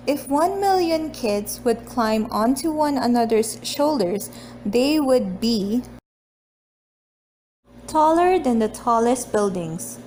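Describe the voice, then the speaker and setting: A woman with a high-pitched voice reads a children's book aloud at a slow pace. Her delivery is deliberate and expressive, in the style of a homemade read-aloud video. There is noticeable noise behind her. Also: poor audio. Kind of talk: picture book read-aloud with casual chat.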